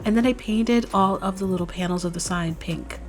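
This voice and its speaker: Slightly hoarse young woman crafting host. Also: mid-range voice, a little fast. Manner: chatty, relaxed, explains steps plainly. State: slightly hoarse, recovering from a cold. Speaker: DIY craft channel host. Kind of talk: casual step-by-step craft tutorial voice-over. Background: faint background music.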